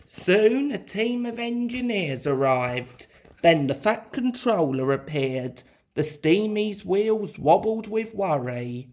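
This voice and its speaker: A woman reading a children's storybook aloud in an expressive, deliberate way. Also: medium pitch. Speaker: storybook reader.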